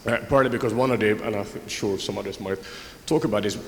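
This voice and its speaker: A man's voice: lowish voice, quick pace. Man from Finland speaking in a roomy hall. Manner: reflective, with frequent restarts. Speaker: panelist. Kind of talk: conference panel talk. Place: large conference hall. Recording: roomy hall with background noise.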